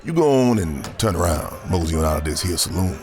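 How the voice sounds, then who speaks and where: An actor playing a cocky, dangerous gunslinger in a Western audio drama, with a gravelly Southern American drawl and theatrical, taunting delivery. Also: male voice, sits low, very animated, brisk, a little mellow. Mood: cool, taunting.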